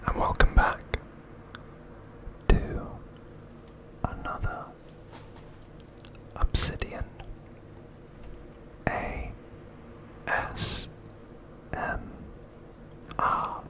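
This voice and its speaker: Very slow whispering ASMR man. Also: low-quality recording. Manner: hushed, slow, deliberate delivery. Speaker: ASMR video host.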